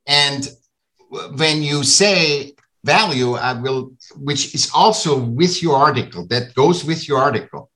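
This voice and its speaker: Bright-voiced Austrian man, emphatic. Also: fairly high pitch, a little slow. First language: German. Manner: emphatic, repeats phrases, non-native phrasing. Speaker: guest artist and author. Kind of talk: podcast conversation.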